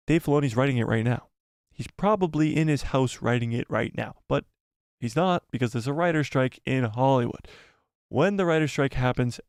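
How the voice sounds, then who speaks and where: A man's voice: medium pitch, a little mellow, dry recording. Chatty male live-stream host, dry sound. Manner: chatty, rambling, reads out viewer comments. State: losing his voice. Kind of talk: casual live-stream commentary.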